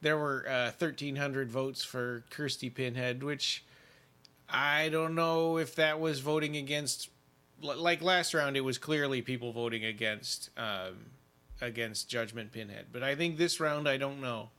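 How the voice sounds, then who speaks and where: A man's voice: mid-range voice, unhurried, pushed and bright, smooth. A man co-hosting a podcast in casual chat, enthusiastic but hesitant with fillers. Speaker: podcast co-host.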